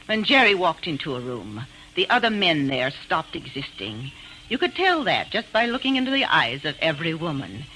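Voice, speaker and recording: A woman's voice: low-pitched, big pitch swings, normal speed, pushed and bright, heavily acted. An actress playing a bitter, domineering older mother-in-law with haughty, theatrical delivery in an old radio drama. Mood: adoring. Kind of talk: 1940s-50s radio acting. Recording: old noisy radio recording.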